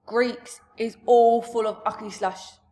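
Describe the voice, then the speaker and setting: A woman reading a storybook aloud, voicing a huge, jolly male giant who booms and shouts in mangled grammar. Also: level tone, slow pace. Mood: booming.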